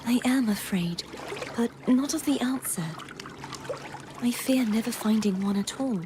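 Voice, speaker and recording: An actress playing a gentle, earnest young woman in a video game, speaking in a formal, measured, soft way. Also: on the high side, background noise, close to an everyday voice. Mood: wistful, uncertain. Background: game music.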